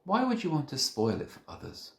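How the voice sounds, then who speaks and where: Stern head teacher, a woman acted by a man, storybook scolding, deliberate and commanding, roomy echo. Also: mid-range voice, huge pitch swings, gravelly, fairly bright tone, pitched above the performer's own voice, full character voice. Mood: reproachful. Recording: echoey room.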